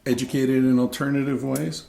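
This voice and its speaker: A man's voice: mid-range voice, level tone, moderate pace, vocal fry, dark tone. A man hosting a podcast, asking curious, probing questions. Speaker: podcast host. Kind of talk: interview. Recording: echoey room.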